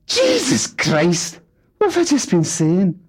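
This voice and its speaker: A man voicing a fat, hot-tempered middle-aged man who shouts at a strained high pitch. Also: huge pitch swings, takes it slowly, breathy edge, heavily acted. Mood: bawling grief.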